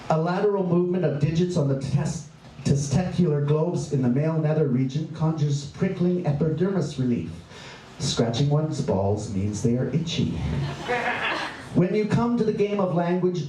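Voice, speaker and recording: Man, spoken word poet, mellow voice, playful wry delivery, heard from a distance. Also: slight background noise. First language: English. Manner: playful, wry, bawdy delivery with sudden pitch leaps and drawn-out words. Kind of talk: spoken word poetry performance. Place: performance venue. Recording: echoey room, voice from far off, faint ambience.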